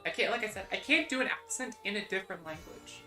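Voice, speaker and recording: A young adult woman with a plain Midwestern American accent chats casually into a desk mic. She rambles, piles on "like," restarts sentences and pokes fun at herself, her voice sitting at an everyday middle pitch. Faint music plays quietly underneath. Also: expressive, quick pace. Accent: General American (Midwestern). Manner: rambling, many "like"s and restarts, self-deprecating. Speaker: VTuber streamer. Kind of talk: casual stream chat.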